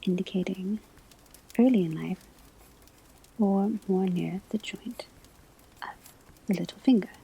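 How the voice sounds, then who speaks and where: A woman whispering in a breathy, husky voice, delivering a scripted ASMR classroom lecture as a teacher, with deliberate, measured phrasing. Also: mid-range voice, warm tone, rough recording. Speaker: ASMR performer playing a divination class teacher.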